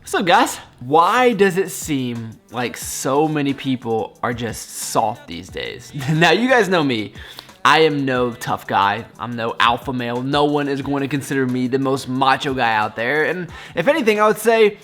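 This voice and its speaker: A man's voice: mid-range voice, very animated, a little slow, a bit bright, faint music behind. A young man talking casually to his vlog audience, upbeat and smiley, rambling with frequent restarts as he gives his opinions. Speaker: fitness and cooking vlogger.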